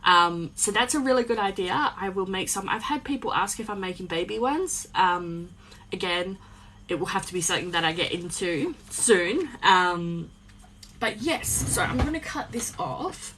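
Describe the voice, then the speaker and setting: A young woman with a slightly croaky voice, chatting in a rambling way, full of ums, while she sews on a live stream from her home craft space. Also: medium pitch, animated, normal speed, a bit bright, a little hiss or hum, poor audio. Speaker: small business owner live-streaming crafts. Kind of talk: casual chat while sewing. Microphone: phone on a live stream.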